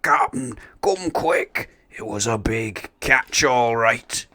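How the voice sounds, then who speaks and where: Pirate deckhand for a storybook, voiced by a man: keen, hungry, salty talk, bright edge. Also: mid-range voice, expressive, slow, no room sound, studio quality, voice pushed up. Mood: excited. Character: eager, hungry male pirate crewmen.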